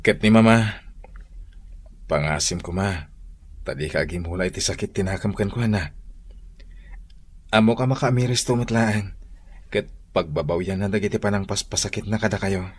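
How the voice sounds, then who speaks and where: A man's voice: fairly low pitch, moderate pace, long pauses, husky. A man acting a devoted young son and boyfriend in an Ilocano radio drama, tender and reassuring, with an Ilocano accent.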